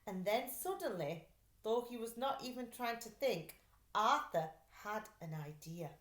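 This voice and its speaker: A woman reading a children's storybook aloud like a teacher, in an expressive, deliberate way. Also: a little high. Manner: animated, deliberate storytelling delivery. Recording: roomy, echoey sound.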